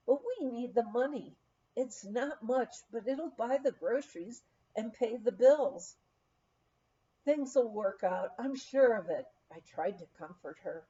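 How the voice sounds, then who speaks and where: Woman memoir reader, higher voice, mellow tone; gentle storytelling, light character voicing; dull lo-fi recording. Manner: gentle storytelling, voicing family members in dialogue. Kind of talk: audiobook-style memoir reading.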